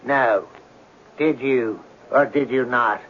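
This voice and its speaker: An actor playing a brisk, dismissive judge in a comic radio drama, curt, dry and officious. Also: male voice, mid-range voice, natural voice. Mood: impatient, dry. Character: brisk, bored, dismissive judge rushing through cases. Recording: muffled, hissy old radio broadcast.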